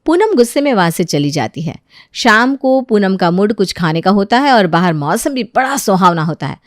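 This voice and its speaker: A woman's voice: medium pitch, big pitch swings, normal speed, dry recording, studio quality. A woman telling a scripted household moral story as its narrator.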